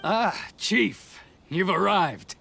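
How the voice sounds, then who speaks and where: Gravelly, high-pitched, blustering official. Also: male voice, expressive, drawn-out words, bright, forceful tone, slight background noise, heavily acted. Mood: fawning, indignant. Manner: blustering, indignant, flustered. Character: greedy, defensive male tax official, blustering and whining. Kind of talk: video game character acting.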